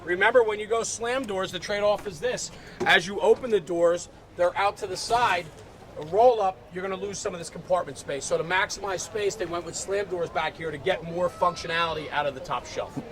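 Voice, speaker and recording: High-pitched man, emphatic walkaround host. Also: fairly quick, fairly bright tone. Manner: emphatic, engaged, peppers talk with "right?". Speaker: host of a fire apparatus walkaround. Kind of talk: enthusiastic unscripted walkaround commentary. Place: outdoors at a busy convention. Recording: outdoor crowd and vehicle noise.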